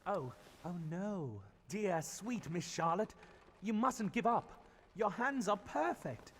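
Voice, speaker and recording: An actor playing a shy, stammering young musician in a cartoon. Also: male voice, sits high, expressive, takes it slowly, fairly bright tone, a little hiss or hum, heavily acted.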